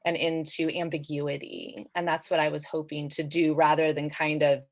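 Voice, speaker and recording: Low-pitched woman thinking aloud. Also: level tone, normal speed. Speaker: author, panel guest. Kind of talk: casual reflective discussion.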